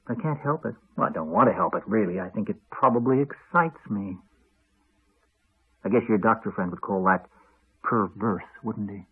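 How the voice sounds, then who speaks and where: An actor playing a cruel, sardonic husband who needles his wife in measured tones, in the style of 1980s radio drama. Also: lowish voice, husky, heavily acted. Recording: narrow-band 1980s radio recording, phone-like.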